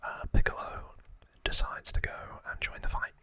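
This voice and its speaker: A man whispering an ASMR trivia recap in a breathy voice, informal and a little hesitant, as if recalling it from memory. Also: normal speed, sharp tone. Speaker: ASMR host.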